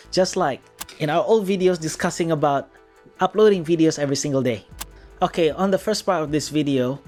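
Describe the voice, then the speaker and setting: A man's voice: a little high, a little mellow. A man from Indonesia with an Indonesian accent, co-hosting a casual podcast chat and steering the talk with plain explanations. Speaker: podcast co-host.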